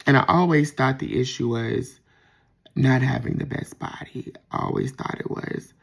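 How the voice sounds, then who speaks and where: A man with a soft voice, talking reflectively in a casual personal monologue with frequent pauses and restarts. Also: medium pitch, fairly even intonation, creaky, slightly rough. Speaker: vlogger.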